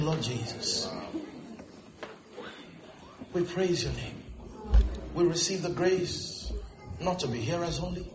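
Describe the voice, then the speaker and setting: A man preaching a lively sermon as pastor, with jokes, asides and laughter, in a church. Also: sits high. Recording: echoey church with music.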